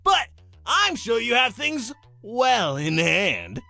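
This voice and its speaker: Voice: mid-range voice, huge pitch swings, slow, gravelly, pushed and bright, faint music behind, exaggerated voice. An actor playing a flamboyant, teasing demon master of ceremonies in a visual novel, announcing with theatrical relish. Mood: sly briefing. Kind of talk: character acting in a visual novel.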